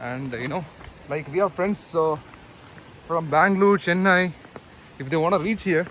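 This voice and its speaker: Man, high voice, fast talking, excited vlog host, faint outdoor noise. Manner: excited, chatty interviewer. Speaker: vlogger host. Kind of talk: casual trekking vlog chat. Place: outdoors on a forest trail.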